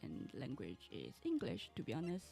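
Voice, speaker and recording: A woman, a Mandarin speaker, chats through a game stream in a low voice with a gravelly edge. She rambles and restarts her sentences as she goes. Soft game music plays faintly behind her. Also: wildly swooping pitch, moderate pace. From Taiwan. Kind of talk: casual game stream chat.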